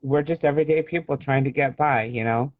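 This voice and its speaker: American guy author in a casual interview, halting, hunts for words, medium pitch, sounds like a phone call. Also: dry recording. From United States. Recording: thin phone-like call audio.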